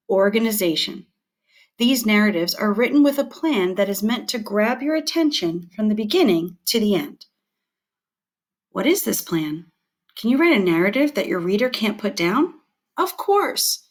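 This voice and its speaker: A woman teacher reading scripted educational material aloud in a clear, deliberate and expressive way. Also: mid-range voice.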